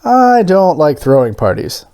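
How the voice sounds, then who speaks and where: A man voicing a mild, kindly, hesitant grown man in a storybook, with gentle, drawn-out phrasing. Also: on the high side, wildly swooping pitch, smooth, voice pushed up, heavily acted. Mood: reluctant.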